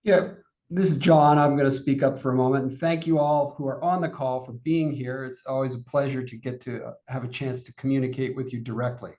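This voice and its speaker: A man's voice: medium pitch, fairly even intonation, a little fast, mellow. A man speaking conversationally as a financial panelist, addressing clients on a conference call. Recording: slightly dull conference call audio.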